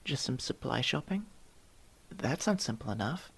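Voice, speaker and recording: Someone acting a husky, curious young elf. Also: medium pitch, big pitch swings, normal speed, fairly bright tone, heavily acted. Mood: explaining, composed. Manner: inquisitive, slightly formal, hesitant stammers. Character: curious, proper young elf mage student from another world. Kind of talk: ASMR roleplay, one-sided dialogue with the listener.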